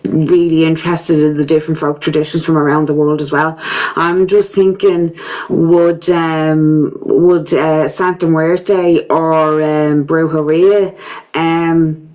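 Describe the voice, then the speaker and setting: A woman hosting an interview, asking questions gently and hesitantly with many "um"s. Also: low-pitched, little pitch movement, takes it slowly. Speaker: podcast host.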